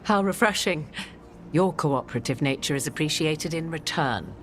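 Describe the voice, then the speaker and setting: An actress playing an arch, enigmatic sorceress in a video game, with haughty, theatrical, archaic phrasing. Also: female voice, sits low, expressive, normal speed, a little hiss or hum. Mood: wry, courtly.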